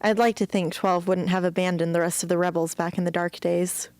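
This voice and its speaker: A woman's voice: lowish voice, level tone, a little fast, a little mellow, clear, resonant tone, no room sound, faint music behind, natural voice. A woman playing a troubled, determined teenage girl rebel in an amateur radio drama. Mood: sullen.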